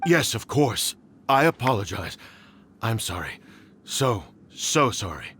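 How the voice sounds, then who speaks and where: Obsequious clan elder. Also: male voice, lowish voice, very animated, slow, a bit bright, husky, exaggerated voice. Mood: apologetic. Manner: apologetic, obsequious. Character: deferential male clan elder, anxious to please. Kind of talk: video game cutscene voice acting.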